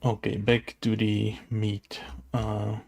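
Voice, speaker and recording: A man with a low, very creaky voice thinks aloud as he codes. He speaks slowly and haltingly, muttering half-formed questions and decisions to himself in a casual, unscripted way. Typing and mouse clicks sit behind him. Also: completely flat delivery, a little mellow. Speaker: game developer making a tutorial video. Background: keyboard typing and clicking.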